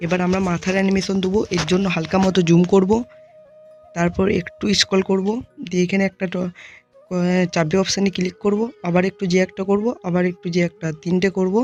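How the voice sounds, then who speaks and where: A tutorial host narrating software steps one by one, speaking informally to viewers as friends. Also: mid-range voice, level tone, fairly quick, lo-fi sound, faint music behind.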